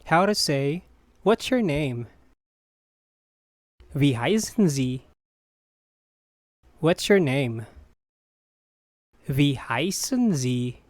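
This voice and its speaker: Slow even drill voice, dead dry studio read, no rush at all. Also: mid-range voice, no echo. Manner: even, measured repetition of each phrase. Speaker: language teacher. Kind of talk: slow scripted phrase drill.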